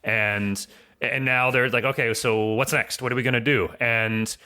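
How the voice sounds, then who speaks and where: A man giving casual analysis as a podcast guest, building his points in halting repetitions. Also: medium pitch, fairly even intonation, sharp tone, clean tone.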